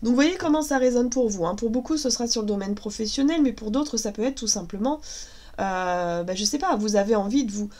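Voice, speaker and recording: A woman's voice: fairly high pitch, brisk, a little mellow. A woman in her thirties with a cold, chatting casually and hesitantly through a tarot reading. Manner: chatty, hesitant with many fillers. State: has a cold, voice cracking. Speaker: tarot reader.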